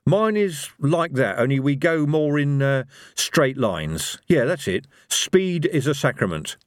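A man's voice: mid-range voice, very animated, a little slow, dry recording, pitched above the performer's own voice. A man voicing a nervy, cowardly fellow who is hesitant, dry and self-justifying, in a storybook reading. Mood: evasive. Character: Rincewind, nervy cowardly man, wry and evasive.

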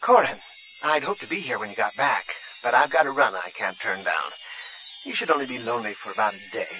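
A man voicing an affectionate, playful young woman in an audiobook, speaking softly and tenderly. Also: medium pitch, expressive, a little fast, slight background noise, low-quality recording. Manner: soft, tender. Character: affectionate, playful young woman, pilot's wife, as hologram message.

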